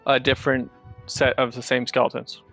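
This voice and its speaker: Male streamer, casual gameplay talk, fry in the voice, halting delivery, soft game music behind. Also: mid-range voice, flat delivery. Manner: halting, self-correcting explanations. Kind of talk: casual gameplay commentary and chat. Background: video game music.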